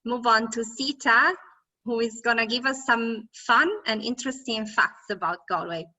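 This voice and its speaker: A woman moderating an online event, introducing speakers in a slow, cheerful way. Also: fairly high pitch, sharp tone. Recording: distant, muffled video call audio.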